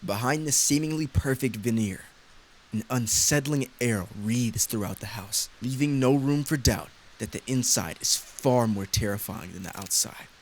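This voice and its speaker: Male gamer narrating over clear background noise. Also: mid-range voice, normal speed, low-quality recording. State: voice tiring. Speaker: video game streamer reading a visual novel aloud. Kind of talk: dramatic narration mixed with casual commentary.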